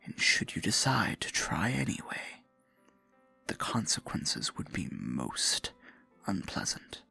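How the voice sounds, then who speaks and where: A man playing a possessive, theatrical dark sorcerer in a fantasy ASMR roleplay, murmuring with silky menace and mock-sweet endearments in a husky, gravelly voice. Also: low voice, sing-song, takes it slowly, soft background music, full character voice. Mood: silky, menacing courtesy.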